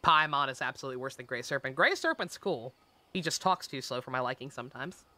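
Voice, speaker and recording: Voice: mid-range voice, fast talker, sharp tone, a little hiss or hum. A game streamer chatting casually over gameplay on a live stream, sarcastic, joking and sweary.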